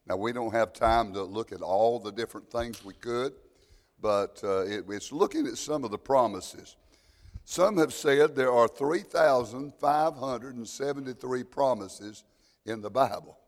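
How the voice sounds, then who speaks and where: A man with a loud voice teaching an evening Bible lesson in a folksy, plainspoken way with homespun jokes. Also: medium pitch, in a large hall.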